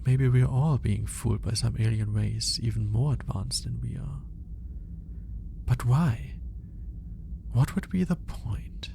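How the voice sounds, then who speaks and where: A man playing a lonely, lovelorn alien scientist in an intimate ASMR roleplay, musing aloud in a hesitant, halting manner. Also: mid-range voice, creaky, noisy background. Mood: puzzled, suspicious. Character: lonely male alien scientist, obsessive, awkward, smitten, lovelorn.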